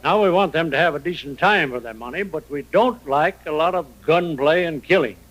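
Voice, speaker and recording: Businesslike frontier mayor in radio western; somewhat high voice, placating and explanatory. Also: male voice, fairly quick, a bit bright, poor audio. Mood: earnest, businesslike. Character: earnest, practical mayor of a western town. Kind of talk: old-time radio western acting.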